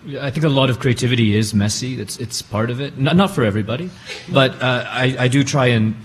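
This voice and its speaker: A man's voice: medium pitch, quick pace. A man speaking informally as a creative artist on a discussion panel. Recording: live room with background noise.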